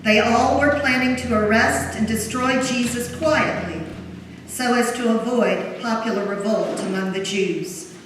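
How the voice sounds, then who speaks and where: A solemn female narrator, read by a woman tells a scripture story formally. Her fairly high voice comes from far off in a long-reverb church hall, with noise around it. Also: unhurried, natural voice. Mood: solemn narration. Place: large church during a service. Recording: very echoey church hall, distant mic, noisy.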